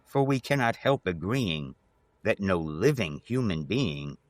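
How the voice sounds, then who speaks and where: Low-voiced guy doing slow gothic poetry, very theatrical and deliberate, dry close sound. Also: expressive, no room sound. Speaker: host reading poetry. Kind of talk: dramatic Gothic poetry reading.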